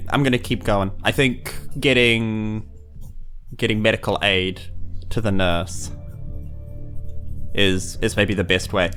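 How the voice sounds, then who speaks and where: A man's voice: on the low side, fairly bright tone. A man with a New Zealand accent, casually thinking aloud as a player in a tabletop game, hesitant and wry. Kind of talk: casual improvised game talk.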